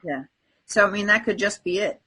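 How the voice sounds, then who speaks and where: Woman, mid-pitched but strikingly husky, encouraging spiritual co-host in casual conversation. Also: a little fast, sharp tone. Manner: encouraging, affirming. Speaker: spiritual guest co-host giving card readings. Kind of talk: spiritual reading and casual chat.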